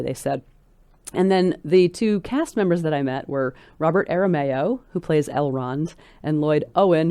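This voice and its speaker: A woman speaking as a scholarly interview guest, articulate and precise, phrasing her thoughts in a measured, thoughtful way. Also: low-pitched, warm tone, no echo.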